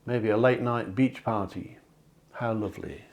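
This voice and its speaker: A man, a psychic hosting a card reading, talking in a chatty, encouraging way that often corrects itself, his voice a little hoarse. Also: lowish voice, unhurried. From England. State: slightly hoarse, clearing throat. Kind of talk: tarot-style card reading to camera. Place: home near the sea.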